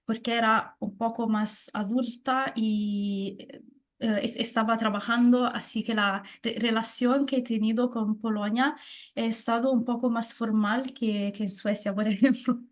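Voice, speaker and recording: A young Italian woman from Naples speaking Spanish with a strong Italian accent, hesitant, with many fillers and restarts, as an interview guest. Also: a little high. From Naples, southern Italy. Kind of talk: casual interview chat.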